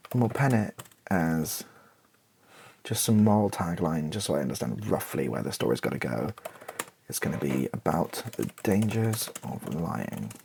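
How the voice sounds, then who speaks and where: A British man doing casual, improvised ASMR, thinking aloud in hesitant fragments with a soft, breathy, partly whispered voice. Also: a bass voice, lively intonation, normal speed. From Britain. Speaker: ASMR video creator thinking aloud while writing.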